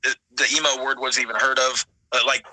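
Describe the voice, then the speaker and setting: A man's voice: medium pitch, little pitch movement, brisk, bright, forceful tone, no room sound, low-quality recording. An American man from Florida in his late thirties, chatting casually in slangy, informal speech. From Florida, United States. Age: late 30s. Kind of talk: casual chat.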